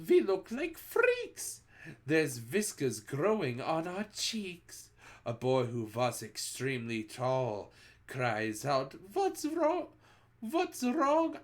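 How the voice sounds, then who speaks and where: A wicked old witch queen gloats and crows through a singsong rhyme, put on by a reader. Her voice leaps up into shrill shouts and swoops back down. She takes her time over each line of the storybook spell. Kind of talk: storybook read-aloud.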